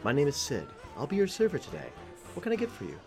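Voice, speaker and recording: A man acting in an audio drama as an obliging, expressive diner waiter. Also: on the high side, quick pace, vocal fry, soft background music, exaggerated voice. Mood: polite.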